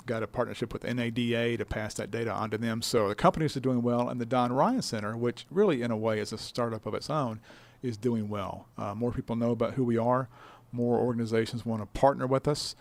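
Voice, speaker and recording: A man speaking conversationally as an interviewed director, explaining things in a folksy, stop-and-start way. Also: mid-range voice. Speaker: business incubator director being interviewed.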